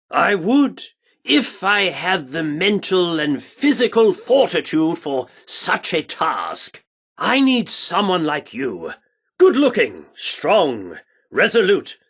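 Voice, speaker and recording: A pompous British theatre director for a video game. His voice sits fairly high and swoops dramatically, with a gravelly creak. He delivers florid, Shakespearean phrases with grand, excitable flair. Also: full character voice. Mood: excitable, flattering.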